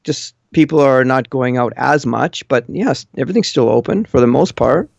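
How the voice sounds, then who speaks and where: A man's voice: mid-range voice, moderate pace, creaky, no room sound. A man calling in over an online voice call, chatting casually with blunt, matter-of-fact opinions. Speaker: caller living in Japan. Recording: muffled lo-fi internet call.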